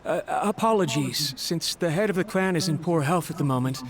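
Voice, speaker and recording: A man's voice: on the high side, normal speed, a crowd in the background, close to an everyday voice. A man voicing a nervous, deferential young clan retainer in a video game, hesitant and very polite. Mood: apologetic, uneasy.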